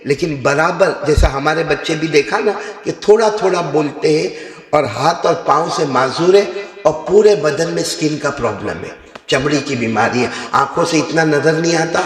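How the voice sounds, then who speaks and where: A male Islamic preacher tells a story as a sermon, animated and given to sudden high rises. His voice sits fairly high. It rings through a large, roomy hall with a long reverb.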